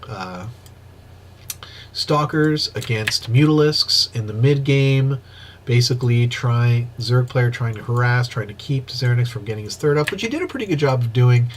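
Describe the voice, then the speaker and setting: A man with a stuffy, sniffly nose giving excitable play-by-play commentary on a strategy game replay, with casual asides, on an old microphone. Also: medium pitch, normal speed, a little hiss or hum. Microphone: old microphone he wants replaced.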